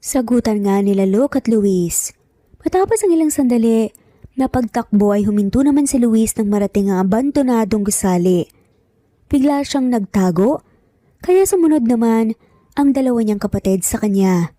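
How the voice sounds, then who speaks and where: A woman's voice: a little high, animated, normal speed, warm tone, dry recording. A woman reading scripted narration as the storyteller of a romance story.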